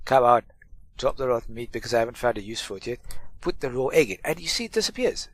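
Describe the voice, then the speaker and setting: A man's voice: mid-range voice, animated, quick pace, no room sound. A middle-aged man with a stuffy cold, hosting a casual gameplay tutorial and chatting through it with self-mocking asides. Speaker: gaming channel host.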